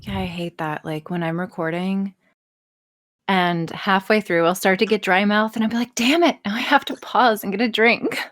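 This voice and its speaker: A woman's voice: medium pitch, moderate pace, no echo. A woman with a mid-range voice talks casually as a podcast guest. She is blunt and self-deprecating and swears freely. The sound is dry, with very little room in it. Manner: sweary, blunt, self-deprecating, animated storytelling with acted-out asides. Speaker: podcast guest, audio voice performer. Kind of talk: casual interview chat.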